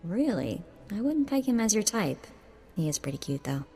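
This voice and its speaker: A woman's voice: mid-range voice, big pitch swings, moderate pace, mellow, husky, long reverb tail, faint music behind. An actress playing a breezy, teasing woman sidekick in a video game, chatty and informal. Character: breezy, wisecracking young woman sidekick briefing a bounty hunter. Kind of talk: scripted video game dialogue.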